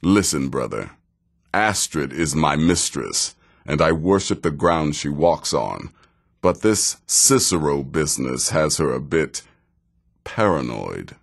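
An actor playing a seasoned, sardonic assassin in a video game, speaking in a measured, dry way with wry menace. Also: male voice, a bass voice, lively intonation, vocal fry, full character voice. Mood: measured, grave. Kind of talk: video game character dialogue.

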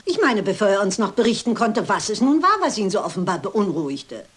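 A woman's voice: medium pitch, big pitch swings, a little fast, husky. An older actress playing a shrewd, determined elderly lady detective, sweetly polite, in a radio play. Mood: probing. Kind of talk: German radio-play acting.

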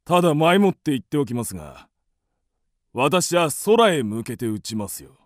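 A man's voice: mid-range voice, big pitch swings, normal speed, no echo, studio quality, lightly acted. A man playing a blustering, hot-headed creditor given to impulsive declarations in a theatrical radio drama. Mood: sheepish.

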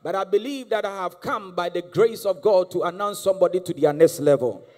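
A man's voice: high voice, brisk, darkish tone. A man in his thirties with a Ghanaian accent, a guest prophet preaching a charismatic sermon on stage with a handheld mic, exhorting the crowd and repeating lines for them to answer. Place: large church hall on stage. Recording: live church hall with long reverb and music.

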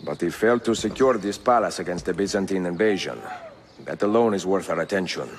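A man playing a courteous, composed middle-aged Italian assassin in a video game, speaking English with an Italian accent in a measured way. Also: deep voice, slight background noise, natural voice. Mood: composed, purposeful. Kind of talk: game cutscene acting.

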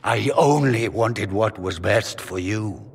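Grave noble father, very deep, husky, formal, regretful, long reverb. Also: slow, exaggerated voice. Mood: pleading regret. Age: middle-aged. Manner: formal, restrained. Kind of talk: dramatic video game acting.

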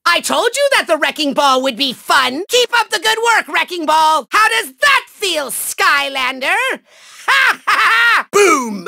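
Tiny evil overlord from a cartoony game, super high raspy forceful voice, hammy and gloating, bone dry studio sound. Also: male voice, no echo, heavily acted. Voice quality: shrill, gravelly, nasal. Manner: bombastic, gloating, sneering delivery with drawn-out words. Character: small megalomaniac male cartoon villain, petulant and theatrical. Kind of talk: hammy video game villain acting.

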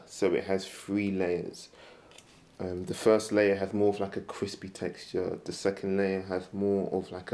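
Man, 30s, deep gravelly voice, soft-spoken and reflective, interview in his workshop. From London. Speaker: woodturner, interviewee. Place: woodturning studio workshop.

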